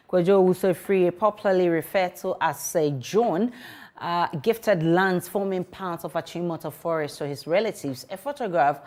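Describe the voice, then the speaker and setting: A woman news anchor, reading a bulletin in a steady, formal way. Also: mid-range voice. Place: TV news studio.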